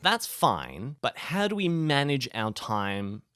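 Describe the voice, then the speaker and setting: A man's voice: medium pitch, very animated, takes it slowly, fairly bright tone, clear, resonant tone, no room sound, faint music behind. A young man giving casual advice in a vlog, with a wry, self-deprecating manner. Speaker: video host.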